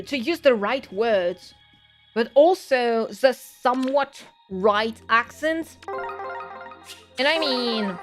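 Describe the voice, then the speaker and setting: Slow-talking French-accented woman streamer. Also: fairly high pitch. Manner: musing, rambling, self-correcting. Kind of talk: casual gaming livestream chat.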